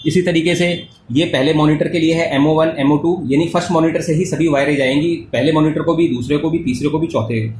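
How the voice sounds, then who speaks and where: An Indian man with a slightly high voice walks through a product tutorial step by step. He restates key points for the listener. The recording sounds echoey, with clear noise behind him. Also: steady tone, a little fast, hardly any pauses, darkish tone. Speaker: product demonstrator.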